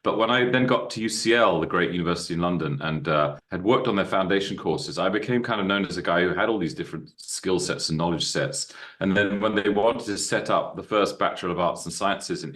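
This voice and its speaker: A man in his fifties with a bass voice, a guest on a podcast, telling his story in an animated, expansive way. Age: 50s. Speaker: guest, university co-founder. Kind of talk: podcast interview.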